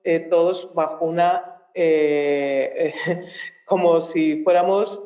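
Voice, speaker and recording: A woman from Mozambique, a native Portuguese speaker, giving a public talk as a researcher, thinking aloud with frequent pauses and restarts. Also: deep voice, fairly even intonation, dark tone, roomy sound, highs cut off. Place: university auditorium.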